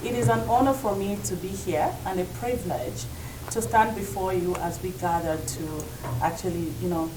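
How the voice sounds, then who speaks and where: Halting woman speaker in a noisy, echoey room. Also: on the low side, far from the mic. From Zambia. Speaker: speaker welcoming guests at a community event. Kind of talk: informal welcome speech.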